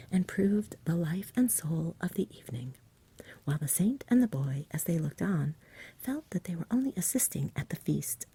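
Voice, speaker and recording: A woman reading in a gentle, soothing, unhurried ASMR bedtime-story style, very softly. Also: on the low side, slightly rough. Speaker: ASMR reader and host. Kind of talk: soft whispered ASMR bedtime story narration.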